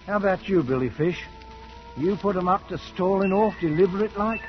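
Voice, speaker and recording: A man acts out a stubborn, imperious British soldier of fortune who calls himself king. His voice sits on the high side and blusters in rough speech. It is 1940s radio drama, dull in sound, with music around it. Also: a little fast, close to an everyday voice. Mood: impatient.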